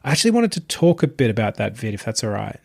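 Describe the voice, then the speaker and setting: A man's voice: fairly low pitch, very animated, fast talker, vocal fry, darkish tone, husky, no room sound, studio quality, heavily acted. A man voicing an earnest young male student in a narrated story, tentative and casual, rambling a little. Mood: eager, tentative.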